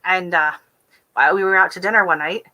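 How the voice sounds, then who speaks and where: Rambly american lady on a garden livestream, lowish voice, kinda sharp and bright. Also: a little fast. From Texas, USA. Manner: rambling, chatty, filler-heavy. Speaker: gardening channel host. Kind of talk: casual live-stream chat.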